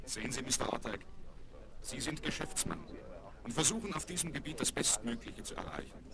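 An actor playing a famous young American aviator, modest and boyish, in a German children's radio play. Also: male voice, medium pitch, big pitch swings, fairly quick. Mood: thoughtful, sincere. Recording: noisy, crackly vinyl record transfer.

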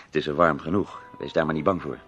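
A man's voice: rumbling low, fairly even intonation, fast, fairly bright tone, muffled recording, soft background music. An actor playing a wry, protective newsman friend with a dry, familiar way of talking in a Dutch radio drama. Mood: teasing, dry.

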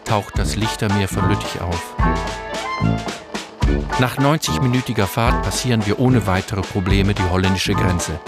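An actor playing a sober companion who narrates a journey in measured first person for a radio drama. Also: male voice, lowish voice, creaky, over loud music. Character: sober male companion narrating the imperial flight.

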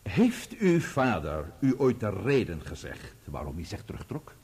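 Insinuating barrister, wildly swinging pitch. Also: male voice, huge pitch swings, moderate pace, darkish tone. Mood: pointed, insinuating. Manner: pointed, insinuating questioning. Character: sharp, insinuating male cross-examining barrister. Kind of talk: radio play courtroom acting.

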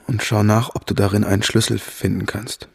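Very raspy, weak sick man. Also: fairly low pitch, level tone, brisk. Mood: urgent, secretive. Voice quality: raspy, creaky. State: weak, feverish. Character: sick, secretive man giving careful instructions. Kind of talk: radio drama acting. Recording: music behind the voice.

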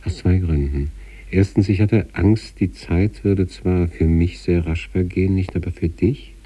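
A man acting an obsessive sanatorium patient in a literary radio monologue, reading out letters to his wife with measured, introspective intensity and a husky, gravelly voice. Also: steady tone, recorded from a distance. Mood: absorbed, determined. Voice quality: husky, gravelly, dark low voice.

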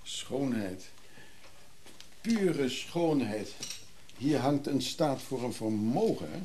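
A grumpy, blunt middle-aged man in a radio play. His voice is soft-edged and mellow, and his pitch moves around freely. Faint noise and clicks sit on the recording. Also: expressive, takes it slowly. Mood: admiring, musing. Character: gruff older man, former alcoholic antique clock connoisseur. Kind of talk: naturalistic radio drama acting.

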